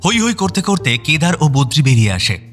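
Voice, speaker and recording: A man's voice: on the high side, very animated, brisk. A man narrating an audio drama from a script, describing scenes and actions. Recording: clear music bed behind voice.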